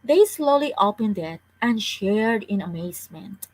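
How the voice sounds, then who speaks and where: A woman's voice: medium pitch, lively intonation, unhurried, no room sound, poor audio. A woman reading a children's story aloud as a storyteller, with careful delivery and the odd stumble over words.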